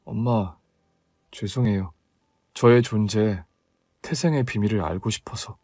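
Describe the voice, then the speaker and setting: A man voicing a grown son who speaks in a soft, lowered voice, earnest and apologetic toward his mother. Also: normal speed, no echo, clean studio sound, natural voice. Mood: remorseful.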